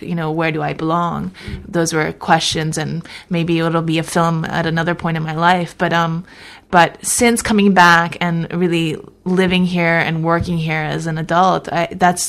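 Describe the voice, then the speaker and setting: Low, gravelly-voiced woman, thoughtful. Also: little pitch movement. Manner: thoughtful, halting, many restarts. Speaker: guest. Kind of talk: casual conversation.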